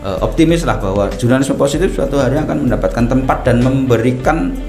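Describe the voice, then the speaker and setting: A middle-aged man from Banyumas, a media director speaking as a podcast guest in a relaxed, talkative way with frequent fillers. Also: medium pitch, fast. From Purbalingga, Banyumas, Central Java. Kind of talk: casual podcast interview. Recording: echoey room with music underneath.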